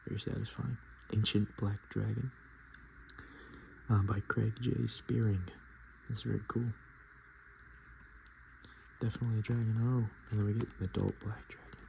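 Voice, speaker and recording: A man softly speaking and whispering in a casual, murmuring ASMR style, as host showing items to the viewer. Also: lowish voice, flat delivery, moderate pace, gravelly, long pauses, highs cut off, a little hiss or hum. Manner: hushed, casual murmured asides. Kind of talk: ASMR, softspoken and whispered card show-and-tell.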